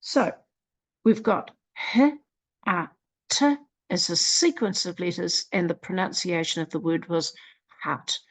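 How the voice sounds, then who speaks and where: A woman with a New Zealand accent presenting a literacy program in a slow, deliberate walkthrough, sounding out letters one at a time. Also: lowish voice, expressive, poor audio. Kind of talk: screen-recorded software walkthrough.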